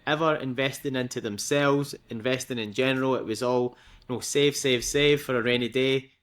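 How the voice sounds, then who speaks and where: A man's voice: mid-range voice, fairly even intonation, moderate pace, a bit bright. A young man with a Scottish accent, a guest in a casual interview, talking in a chatty way with frequent laughs and fillers. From Scotland.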